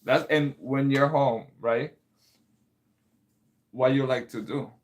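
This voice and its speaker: A man's voice: mid-range voice, fairly even intonation, slow pace. A man whose first language is Spanish, hosting a casual livestream as a composer and chatting with viewers about their comments. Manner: chatty, reads out and answers chat comments. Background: loud music playing.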